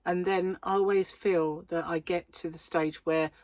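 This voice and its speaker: A middle-aged British woman with a wheezy breath, chatting casually to camera as host of a crochet channel, self-deprecating and quick to laugh. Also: on the low side, fairly even intonation, a little mellow, dry recording. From United Kingdom.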